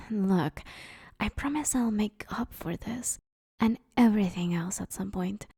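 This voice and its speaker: Woman doing a broke lady supervillain next door, relaxed creaky voice, awkward n rambly, friendly, audio roleplay. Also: mid-range voice, measured pace, no echo, studio quality. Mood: confident salesmanship. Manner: rambling, hesitant, sheepishly pleading, casual. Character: awkward, broke, friendly female supervillain neighbor asking favors. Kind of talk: roleplay audio drama, casual conversational monologue.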